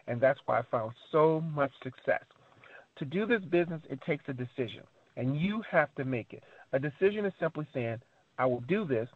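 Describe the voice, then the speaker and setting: A husky, fairly high-pitched man delivers a motivational sales pitch on a dry, tinny phone line. He speaks emphatically, piling up repeated phrases and rhetorical questions with a preacher-like cadence. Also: dry recording. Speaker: network marketing presenter on a recorded conference call. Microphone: telephone conference call.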